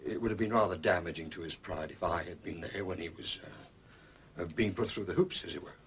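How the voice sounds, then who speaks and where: A man plays a discreet valet in a period mystery, recorded over faint ambient noise. His voice is on the low side, and he speaks formally, choosing tactful words with small hesitations. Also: brisk, close to an everyday voice. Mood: tactful, grateful. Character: discreet, loyal, courteous gentleman's manservant. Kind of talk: British period mystery drama acting. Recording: clear background ambient noise.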